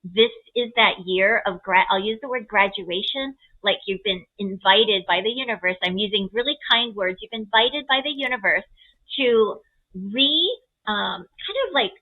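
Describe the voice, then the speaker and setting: A woman speaking as an astrologer, explaining a chart reading conversationally in a gentle, encouraging way with simple analogies. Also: mid-range voice, normal speed, phone-quality sound.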